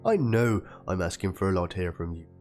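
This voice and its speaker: Male reader as aggrieved young woman in a dramatic document read. Low pitch, plaintive, theatrical delivery, guitar music underneath. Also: expressive, brisk, a little mellow. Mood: hurt, pleading. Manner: theatrical, plaintive, spells out chat slang like "lol". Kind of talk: dramatic reading of a written document and chat logs.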